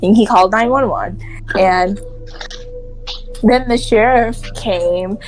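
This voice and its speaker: A young woman with a mid-pitched voice tells a story casually to friends over background music. She rambles, slipping into acted-out dialogue and swearing freely as she goes. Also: takes it slowly, rough recording. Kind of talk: casual spoken movie recap to friends.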